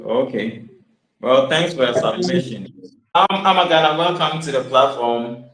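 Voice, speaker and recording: A man hosting an online interview, asking calm follow-up questions and thanking his guest. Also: medium pitch, slight background noise. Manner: measured, asks follow-up questions. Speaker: host. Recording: echoey room sound.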